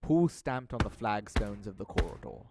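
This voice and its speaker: A man's voice: lowish voice, lively intonation, moderate pace, noisy background. A young man reading scripted dramatic narration of a classic tale, as narrator of an audio drama. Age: young adult.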